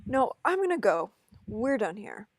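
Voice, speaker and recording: A woman's voice: a little high, sing-song, unhurried, no echo, studio quality, exaggerated voice. A woman playing a skeptical, exasperated young man in a script table read, with a blunt delivery. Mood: fed up.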